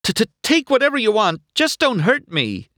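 A man acts out a cocky, impatient talking race car for a storybook. The voice is raised and swings widely in pitch, slick and easily flustered, in a dry close recording. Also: huge pitch swings, a bit bright, dry recording, heavily acted. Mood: panicked. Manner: fast-talking, stammering when flustered, wheedling. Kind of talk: audiobook character voice.

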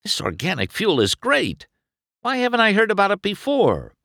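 A man's voice: high voice, huge pitch swings, moderate pace, gravelly, sharp tone, no echo, studio quality, pitched above the performer's own voice, exaggerated voice. A man voicing a cocky young male race car, talking in a breezy, showy way. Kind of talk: storybook read-aloud.

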